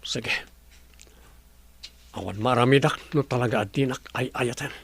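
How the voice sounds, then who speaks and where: A man playing a jealous, lovelorn gentleman in an Ilocano radio drama, resentful and wounded, with an Ilocano accent and husky voice. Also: medium pitch, unhurried. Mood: hurt disbelief. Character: jealous, bitter lovelorn gentleman, master of the house.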